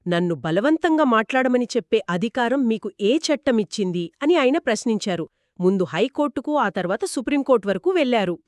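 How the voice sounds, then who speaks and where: A woman's voice: on the high side, big pitch swings, a little fast, a little mellow, no room sound, clean studio sound. A woman co-hosting a scripted explainer discussion, speaking in an assured, explanatory way. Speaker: explainer co-host. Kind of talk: scripted two-person legal explainer discussion.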